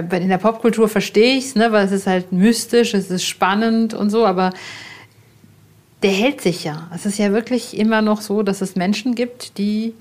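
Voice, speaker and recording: A woman's voice: medium pitch, a little mellow. A woman chatting casually as a podcast interviewer, thinking aloud in informal, rambling questions.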